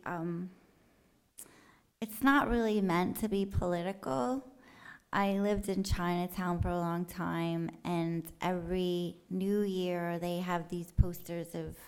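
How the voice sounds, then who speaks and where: A woman speaks at a very slow pace in a casual artist talk. Her delivery is colloquial and offhand, wandering from thought to thought with a joking touch. She addresses a live audience without a script. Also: on the low side, gravelly, smooth. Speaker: artist giving a talk about their work.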